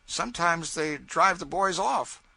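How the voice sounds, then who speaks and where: A man's voice: fairly high pitch, animated, unhurried, creaky, bright, forceful tone, voice pushed up, heavily acted. A man doing a timid, pleading young boy. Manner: timid, polite, eager to please.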